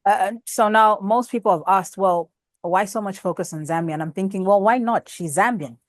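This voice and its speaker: A woman hosts a podcast interview in a conversational, affirming manner. Her voice sits in a middle pitch range. The sound is dry and close. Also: a little fast, no echo. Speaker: podcast host.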